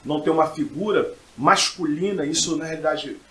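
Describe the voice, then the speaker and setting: A man's voice: fairly high pitch, a little fast, fairly bright tone, echoey room. A man, middle-aged, giving personal testimony as a podcast guest in an emphatic way, repeating phrases for effect. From Brazil. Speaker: podcast guest, pastor and preacher.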